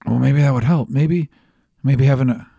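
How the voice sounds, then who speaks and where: A man plays an anxious young bird-man in a tabletop fantasy game. He rambles and stammers shyly, with an eager streak. His voice is pitched up and loud, recorded dry and close. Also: moderate pace, gravelly, slightly rough, dry recording.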